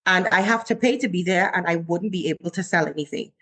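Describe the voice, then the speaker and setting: Chatty Bahamian-born woman chef. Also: quick pace. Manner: animated, acts out her own quotes with rising pitch. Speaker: chef, guest. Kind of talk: casual interview storytelling.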